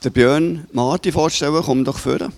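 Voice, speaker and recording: A man with a Swiss German accent leading a church service, interviewing a guest in a chatty, halting way and praying. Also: a little high, darkish tone, a little hiss or hum. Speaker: pastor.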